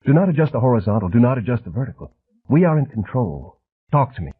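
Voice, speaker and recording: A man voicing a jittery, menacing middle-aged thug with a soft, almost feminine voice and a hint of a refined Southern accent, jabbering fast on a muffled cassette recording. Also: medium pitch, big pitch swings, slightly rough, voice pushed up. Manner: jabbering quickly, as if on speed. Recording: muffled, phone-like old cassette audio.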